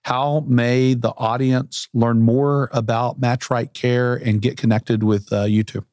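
A man hosts a podcast interview, asking questions in an upbeat, conversational way. His voice sits at an ordinary pitch. The recording is dry and close. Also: level tone, a little slow, a little mellow, dry recording. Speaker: podcast host.

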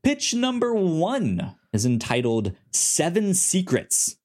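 A man co-hosting a casual review podcast, talking informally and haltingly, often stuttering and repeating syllables. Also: high voice, huge pitch swings, a little mellow. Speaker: podcast co-host. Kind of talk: casual review show chat.

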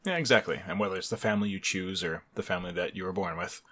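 An upbeat man hosting a casual podcast, with a bright, forceful voice sitting a little low. He talks in a goofy, friendly way and gets mushy about gratitude. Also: racing. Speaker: podcast host.

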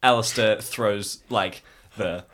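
A man's voice: lowish voice, steady tone, slow, a bit bright, a little hiss or hum. A man playing in an improvised audio drama, casually describing his character's actions. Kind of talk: casual improvised roleplay, narrating own character.

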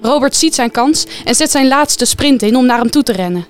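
A woman's voice: sits high, animated, moderate pace, soft background music. A woman reading scripted narration as the storyteller of a wartime radio play.

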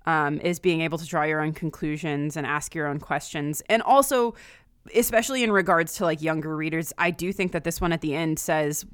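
A woman's voice: deep voice, a little fast, clear, resonant tone, dry recording, studio quality. A woman in a casual, thoughtful discussion, often saying "like" as she works through her points. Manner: frequent filler "like", thoughtful.

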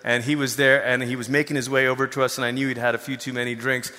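Bright-voiced male preacher in a reverberant hall. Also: medium pitch, little pitch movement, fast. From Malaysia. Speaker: pastor. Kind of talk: conversational sermon with personal storytelling. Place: large hall, preaching to a congregation. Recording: long reverberant hall sound.

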